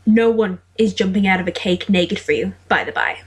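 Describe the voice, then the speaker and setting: A woman's voice: medium pitch, moderate pace, breathy edge, natural voice. A woman voicing a sardonic seventeen-year-old boy, bantering in a dry, mock-formal way for an amateur audio drama.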